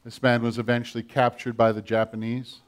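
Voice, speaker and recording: An older man preaching a sermon with a children's message, animated and storytelling with a big voice. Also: medium pitch, fairly quick.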